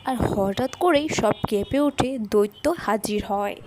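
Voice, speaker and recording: A woman's voice: medium pitch, a little slow, background noise. A woman reading scripted fairy tale narration as the storyteller.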